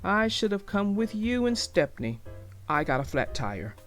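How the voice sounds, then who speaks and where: A woman's voice: medium pitch, very animated, measured pace, soft background music. A woman voicing a hearty, kindly male railway boss in a children's storybook. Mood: hearty, pleased.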